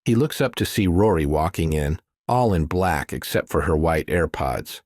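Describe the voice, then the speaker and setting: A man's voice: a bass voice, lively intonation, moderate pace, a little mellow, no room sound, studio quality. A man reading scripted thriller narration as an audiobook narrator.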